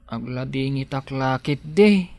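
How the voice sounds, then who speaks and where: A man's voice: medium pitch, measured pace, creaky, darkish tone. A man with an Ilocano accent playing a loyal, protective household helper in a radio drama, earnest and deferential. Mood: devoted, worried.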